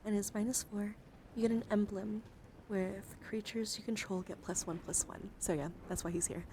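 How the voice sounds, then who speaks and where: Soft-spoken woman streamer, noisy background. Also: mid-range voice, steady tone. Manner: unhurried casual commentary with murmured, whispery asides. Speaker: livestream host. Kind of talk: soft-spoken ASMR card show-and-tell.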